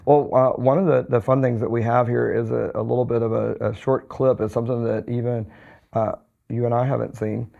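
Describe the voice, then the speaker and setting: A hoarse middle-aged man, rambling easily through podcast chat. His voice is deeply gravelly and stays flat in pitch. The recording sounds a little dull and lo-fi. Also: brisk. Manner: relaxed, rambling with many fillers and restarts. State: hoarse, recovering from a cold. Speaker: podcast co-host, physician.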